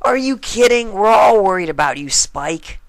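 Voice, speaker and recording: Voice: medium pitch, lively intonation, normal speed, dry recording, voice pushed up, lightly acted. A storyteller voicing a brash, protective young female pegasus pony who talks bluntly and casually. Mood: indignant. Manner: blunt, forceful, slangy.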